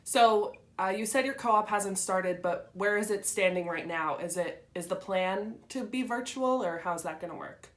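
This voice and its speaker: A woman's voice: medium pitch, moderate pace, hardly any pauses. A young woman interviewing a fellow student in a casual, supportive way, asking follow-up questions.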